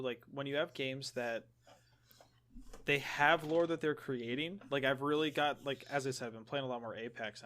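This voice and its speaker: A man with a medium-pitched voice talks as a podcast co-host. His delivery is casual and informal, rambling through explanations as if chatting with friends. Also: a bit bright. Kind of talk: casual podcast chat about games.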